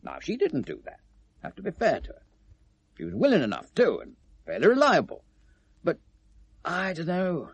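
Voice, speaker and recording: Animated, gravelly British shopkeeper. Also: male voice, a little high, moderate pace, pauses often, no room sound, full character voice. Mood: grudging, explaining. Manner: hesitant, defensive, chatty. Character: evasive, self-justifying male gift-shop owner. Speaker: actor in a radio play. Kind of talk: 1970s British radio drama acting. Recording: vintage radio drama recording.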